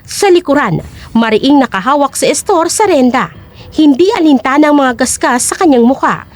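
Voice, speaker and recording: A woman's voice: high voice, lively intonation, normal speed. A woman narrating an audio drama in a dramatic, scripted storytelling style.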